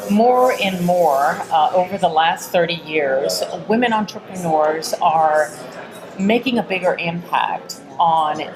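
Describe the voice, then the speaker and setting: Earnest low-pitched woman from Texas. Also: takes it slowly, music in the background, poor audio. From Texas, United States. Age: middle-aged. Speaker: interview guest, entrepreneur. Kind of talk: conversational interview answers. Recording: busy crowd chatter behind. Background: crowd murmur.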